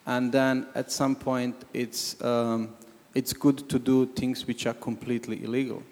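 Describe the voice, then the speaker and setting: A man from Croatia, commenting from the audience at a panel discussion, halting with many restarts. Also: medium pitch, level tone, a little mellow. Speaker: audience member. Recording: long hall reverb.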